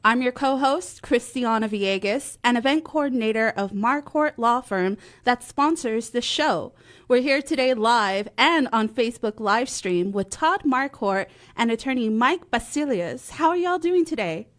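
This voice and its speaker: A woman's voice: on the high side, animated, unhurried. A woman co-hosting a live talk radio show in a chatty style. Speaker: radio co-host.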